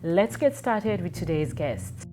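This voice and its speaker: A woman host reading a scripted introduction in an even, informative way. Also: lowish voice, slow, over music. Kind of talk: scripted narration.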